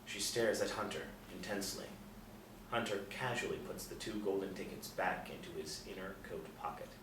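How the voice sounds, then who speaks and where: A man's voice: low voice, normal speed. A man reading stage directions as scripted narration at a table reading. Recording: roomy, distant mic, faint noise.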